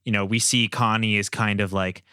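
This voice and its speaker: A man with a lowish, clean voice hosts a podcast discussion. He feels his way through sentences conversationally, recorded dry and close with no room sound. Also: fairly even intonation, moderate pace, pushed and bright, clear, resonant tone, no room sound. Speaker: podcast host.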